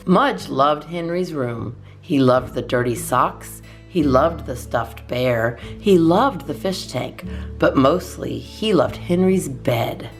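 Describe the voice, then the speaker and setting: A woman reading a children's storybook aloud as a storytime host, expressively and in measured phrases with deliberate pauses. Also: low-pitched, animated, soft background music.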